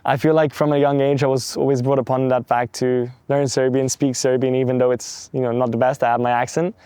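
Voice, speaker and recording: A young man from London, a guest in a casual interview, answering in a measured, thoughtful way and often pausing on phrases like "let's say". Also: mid-range voice, little pitch movement, mellow, smooth, dry recording. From London, England.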